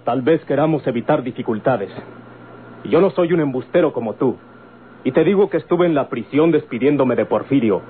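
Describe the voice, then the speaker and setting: An actor playing a stern, calculating gentleman with a Mexican accent, deliberate and insistent, in an old radio drama. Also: mid-range voice, animated, natural voice. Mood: stern, pressing. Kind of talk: golden-age Mexican radio drama acting. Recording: tinny, noisy old radio recording.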